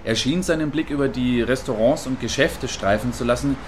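A man playing an earnest field reporter in a radio play, giving wordy, factual and deliberate live reports. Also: mid-range voice, over music, natural voice. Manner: factual, deliberate, long-winded.

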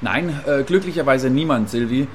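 A man playing an earnest field reporter in a radio play, giving wordy, factual and deliberate live reports. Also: medium pitch, a little hiss or hum, close to an everyday voice. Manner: factual, deliberate, long-winded.

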